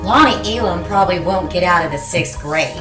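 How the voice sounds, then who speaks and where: An actress playing sharp-tongued teenage girls in horror film dialogue. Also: lowish voice, lively intonation, brisk, lightly acted. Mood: dry sarcasm. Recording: roomy, music underneath.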